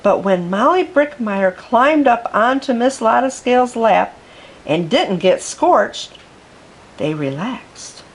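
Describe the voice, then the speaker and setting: A woman reading a children's picture book aloud for storytime, with gentle, expressive narration. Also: a little high, slow. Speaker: storytime reader.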